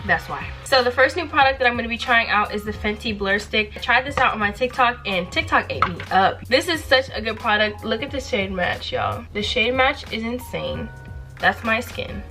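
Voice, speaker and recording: A woman's voice: on the high side, lively intonation, normal speed, sharp tone, soft background music. A young woman chatting casually to her viewers as a beauty vlogger while doing her makeup, getting over a cold. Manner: chatty, playful, talks straight to viewers. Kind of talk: casual get-ready-with-me chat.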